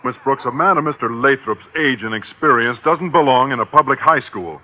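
Actor as a nervous, earnest American biology teacher. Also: male voice, mid-range voice, expressive, a little fast, natural voice. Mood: earnest, aggrieved. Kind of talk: 1950s radio sitcom acting. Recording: dull, hissy old radio broadcast.

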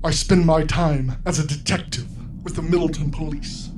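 Man acting a formal, high-voiced alien superhero. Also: fairly even intonation, darkish tone, a little hiss or hum, close to an everyday voice. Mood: solemn. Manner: formal, measured, dramatic. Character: male alien telepath superhero, earnest, guarded, solitary. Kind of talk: superhero radio play acting. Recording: music playing under the voice.

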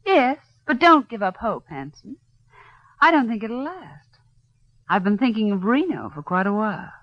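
Lively, flirtatious gangster's wife. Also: female voice, fairly high pitch, moderate pace, dry recording. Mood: candid. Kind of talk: old-time radio crime drama acting. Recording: dull old radio recording.

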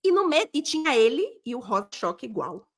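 A woman in her thirties with a Brazilian accent, telling stories in a chatty, animated way as a podcast co-host. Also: high-pitched, fairly quick. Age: 30s. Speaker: podcast co-host and football broadcast journalist. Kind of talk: casual podcast chat.

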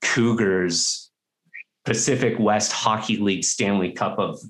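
Lower-pitched Canadian man hosting casually. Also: measured pace, fairly bright tone, rough recording. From Toronto, Canada. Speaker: interview host. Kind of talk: casual interview chat with quiz questions.

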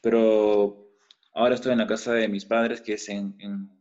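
A Peruvian man, a musician guest in a casual interview, speaking in a measured, slightly hesitant way with a Peruvian Spanish accent. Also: lowish voice, flat delivery, a little mellow, highs cut off. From Peru.

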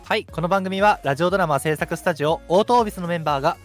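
Young man, brisk pace, dry teasing, informal radio hosting, faint music behind. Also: sits high. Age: 20s. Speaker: radio show co-host. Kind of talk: casual live radio chat.

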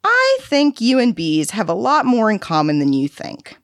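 Woman playing a patient, coaxing carer, very animated. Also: on the high side, no echo, studio quality, voice pushed up. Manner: soothing, deliberate, explaining as to a child. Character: patient, coaxing female carer handling a volatile child.

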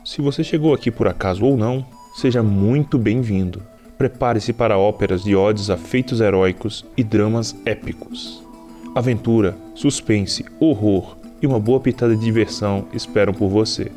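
A Brazilian man delivers a scripted, dramatic show introduction. His voice is low and mellow, with guitar music playing behind him. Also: moderate pace. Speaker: podcast announcer.

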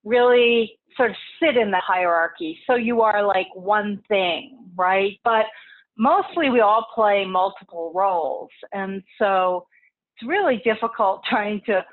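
A woman's voice: mid-range voice, unhurried. A woman from Texas, a podcast guest who founded an institute, talking casually and chattily over the phone. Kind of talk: casual interview talk. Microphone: phone line. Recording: tinny phone line.

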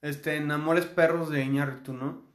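Mexican man, podcast co-host, casual and rambling, slightly high voice, echoey room. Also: steady tone, normal speed. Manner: rambling with many fillers. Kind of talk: casual chat.

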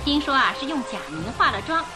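An actress playing a gossipy, excitable female ship passenger in a radio drama. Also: sits high, quick pace, rough recording. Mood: excited gossip. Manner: gossiping, exclaiming. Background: music.

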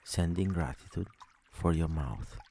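A man leading a guided sleep meditation, speaking slowly and soothingly with long pauses between phrases. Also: deep voice, gravelly, dark tone, a little hiss or hum.

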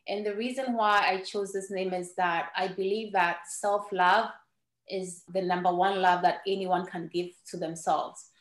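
A woman from Ghana, a native Ewe speaker, talking as a guest on a podcast. Her mid-pitched voice has an earnest tone and small restarts. The room around her sounds echoey. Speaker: guest entrepreneur. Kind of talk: podcast interview storytelling.

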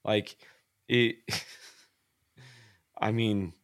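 Man, co-host, low voice, dry sound, casual hedging talk. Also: flat delivery, drawn-out words, no echo. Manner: conversational, hedging with "like" and "I mean". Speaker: podcast co-host. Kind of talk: casual chat about music.

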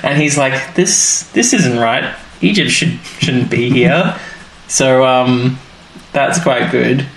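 A man's voice: medium pitch, slow pace, gravelly. Rambling man co-hosting a podcast. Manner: rambling, restarts and fillers while recounting plots. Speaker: podcast co-host. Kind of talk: casual chat. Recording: roomy sound with faint background noise.

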